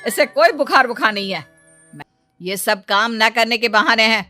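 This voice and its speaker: A woman's voice: on the high side, moderate pace, pushed and bright, faint music behind. A woman voicing a stern, domineering rich middle-aged mother in a cartoon story, in a scolding, bossy manner.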